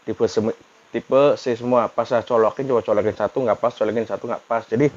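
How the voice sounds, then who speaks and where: A man's voice: medium pitch, steady tone, brisk, a little mellow, lo-fi sound. A man giving a casual, informal product review, repeating himself as he chats to viewers like a vlogger. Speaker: vlogger reviewing motorcycle helmet intercoms.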